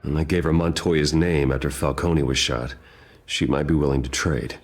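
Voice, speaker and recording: Serious young billionaire, very deep voice, husky, calm and measured. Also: male voice. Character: grave wealthy young man, secret vigilante. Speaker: game character.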